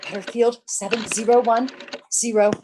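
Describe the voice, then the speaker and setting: A woman's voice: fairly high pitch, fairly even intonation, normal speed, background noise, natural voice. A woman playing an anxious, demanding, self-pitying invalid wife in old-time radio suspense style, stammering nervously and repeating herself. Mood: agitated, insistent.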